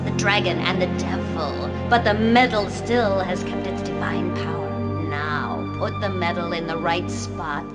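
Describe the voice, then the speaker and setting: A woman voicing a calm, knowing female magician in a game cutscene, measured and instructive. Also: lowish voice, close to an everyday voice. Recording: game music behind.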